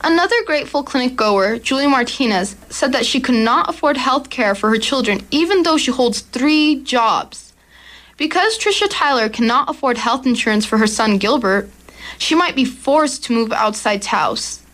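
A teenage girl reading her own commentary for a youth radio program in an earnest, steady way. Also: high-pitched, moderate pace. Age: teens. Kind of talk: read commentary.

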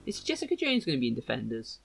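A young man co-hosting a podcast in a gravelly voice of middling pitch. He keeps his replies short and dry. Music plays clearly behind him. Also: huge pitch swings, very fast. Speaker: podcast co-host. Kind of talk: casual chat.

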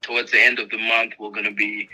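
A man from Zimbabwe joins by phone-style call-in audio. His voice is bright and sharp, his pitch stays flat, and he speaks haltingly with fillers. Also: low-quality recording. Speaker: pastor. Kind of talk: casual interview conversation. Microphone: calling in remotely.

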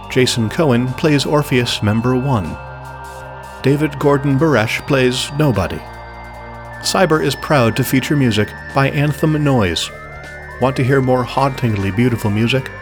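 A man announcing the closing credits of an audio drama podcast in a scripted read. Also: mid-range voice. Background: music.

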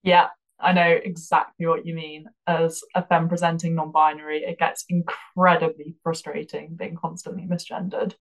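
A woman's voice: low voice, flat delivery, normal speed, creaky, low-quality recording. A female-sounding podcast host conducting an interview in a conversational way.

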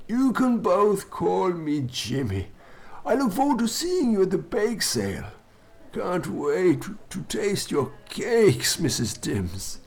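Creaky, high-pitched officious male vicar played by an actor. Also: big pitch swings, slow pace, a little mellow, full character voice. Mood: genial, suggestive. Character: polite, evasive, smooth-talking male vicar. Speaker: actor in an audio drama. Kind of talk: comedy audio drama acting.

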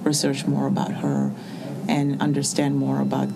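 A woman, speaking as an artist in an interview and pausing often with fillers. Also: extremely low pitch, very animated, gravelly, noisy background. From Iraq. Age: 40s. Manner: hesitant, with many pauses and fillers. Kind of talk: reflective interview answers. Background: soft music underneath.

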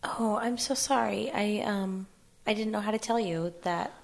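A woman playing a breezy, evasive, apologetic lover in improvised radio comedy, speaking haltingly and with understatement. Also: medium pitch, creaky, natural voice.

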